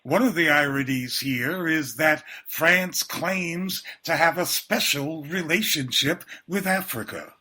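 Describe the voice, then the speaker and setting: Slow, bright-voiced male radio interviewer. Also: a little high.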